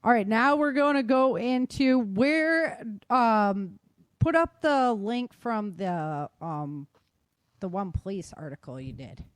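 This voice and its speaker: A woman's voice: a little high, takes it slowly, no room sound. A woman hosting a podcast in casual chat, talking in an informal, slangy and self-deprecating way. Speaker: podcast host.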